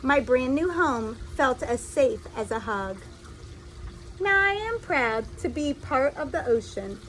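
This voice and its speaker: A woman reading a children's story aloud in an expressive, deliberate storytelling style, like a teacher addressing young listeners. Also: high-pitched, slight background noise, poor audio.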